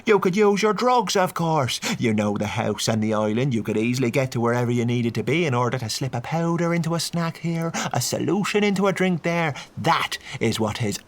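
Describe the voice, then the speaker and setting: A man's voice: mid-range voice, expressive, a little fast, few pauses, voice pushed up, close to an everyday voice. A man voicing an agitated, zealous priest who speaks in a fervent, preaching way. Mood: accusing. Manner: pleading, fervent.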